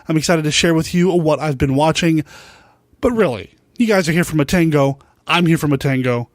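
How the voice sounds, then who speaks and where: A man's voice: fairly high pitch, no echo, clean studio sound. A man hosting a podcast, talking in a casual, conversational style. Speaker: podcast host. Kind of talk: solo show intro and casual interview chat.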